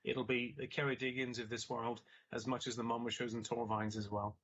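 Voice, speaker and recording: A man giving a measured explanation at a council meeting. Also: medium pitch, level tone, fairly bright tone, breathy edge. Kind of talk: answering questions at a council meeting. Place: video call. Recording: lo-fi video call audio.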